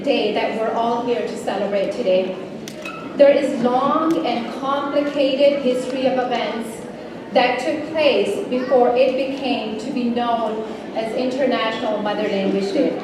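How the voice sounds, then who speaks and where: A young woman giving an earnest, measured speech on behalf of a multicultural education association at a community celebration. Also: fairly high pitch. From Canada. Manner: earnest, measured delivery with occasional slips and restarts. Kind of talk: prepared public speech. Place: large event hall. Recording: echoey hall, distant mic, background noise.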